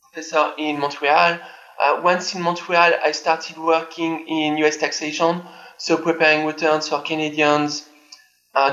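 A man answers interview questions plainly and matter-of-factly. His voice is fairly high and bright but flat, with little rise and fall. He comes through a dull, lo-fi remote line. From France. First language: French. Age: 30s. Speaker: tax accountant guest.

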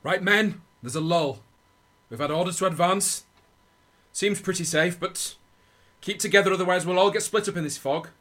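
A man acting in a radio drama as a dutiful, war-weary army officer, measured and thoughtful. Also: sits high. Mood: commanding, cautious. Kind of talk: radio drama narration and orders. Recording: loud guitar music under voice. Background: plucked guitar music.

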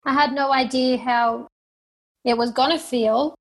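Young Australian woman, fairly high voice, plain unpolished delivery, interview guest. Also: fairly even intonation, a little slow. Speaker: guest, professional fighter. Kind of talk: interview answers.

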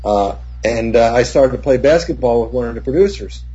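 An older man, a cinematographer, telling rambling, profane set stories with many restarts, in a husky voice. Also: mid-range voice, lively intonation, brisk, dark tone. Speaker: cinematographer guest. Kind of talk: casual anecdote. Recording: dull lo-fi recording.

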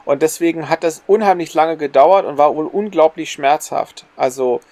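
A man's voice: a little high, moderate pace, a little mellow. Man chatting casually as podcast co-host.

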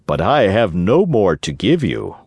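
A man voicing a kindly, patient old father in a storybook, speaking in a gentle, earnest, moralizing way. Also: deep voice, huge pitch swings, unhurried, husky, no room sound, studio quality, exaggerated voice. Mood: apologetic.

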